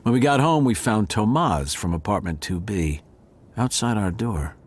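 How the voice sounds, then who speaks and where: A man's voice: lowish voice, lively intonation, normal speed. A man voicing a wry male cat who narrates a noir murder mystery audio drama with dramatic flair.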